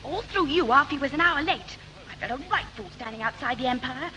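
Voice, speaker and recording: A high, lively teenage sister, snappish and indignant. She performs in 1960s radio comedy style on an old recording with clear noise. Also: brisk. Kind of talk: 1960s radio sitcom acting.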